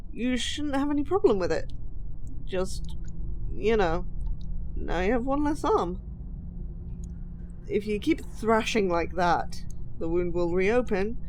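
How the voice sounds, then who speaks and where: A woman acts out an obsessive, lovesick female kidnapper in an audio roleplay. She talks slowly and haltingly, nervous and apologetic as she addresses the listener. Clear noise sits behind her voice. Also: mid-range voice, a little mellow, rough recording. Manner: halting, hesitant, flustered and apologetic.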